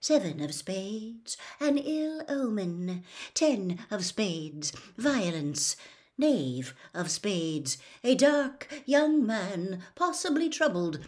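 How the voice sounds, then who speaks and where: A woman's voice: mid-range voice, very animated, very slow, a little mellow. A woman voicing a dreamy female fortune-telling teacher in a storybook, murmuring ominous readings.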